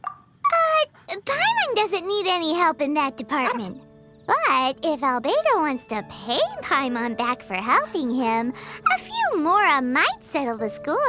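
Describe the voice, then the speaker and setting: A woman's voice: very high voice, huge pitch swings, slow, over loud music, heavily acted. A woman voicing a tiny, childlike female fairy companion in a video game, chirpy, cheeky and given to exclaiming. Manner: perky, exclaiming, refers to herself by name. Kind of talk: exaggerated cartoon-like game voice acting.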